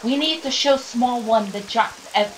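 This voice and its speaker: A woman co-hosting a game let's play in casual chat, a little stuffed up, with excitable bursts. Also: on the high side, moderate pace, background noise. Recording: game music underneath.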